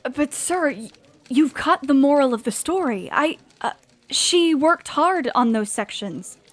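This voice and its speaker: A woman's voice: sits high, animated, measured pace. A woman playing an eager, polite young woman writer in an audio drama, earnest and easily flustered. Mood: hesitant, polite. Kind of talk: audio drama acting with letter narration. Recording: music behind the voice. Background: music.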